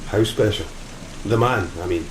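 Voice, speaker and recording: A man's voice: lowish voice, moderate pace, slight background noise, natural voice. A man playing a grim, sardonic sailor with a criminal past in a gothic horror audio play. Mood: dry, probing. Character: grim, menacing male sailor, gangster's son from Moscow. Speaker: actor in an audio drama. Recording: steady rain and thunder, roomy echo.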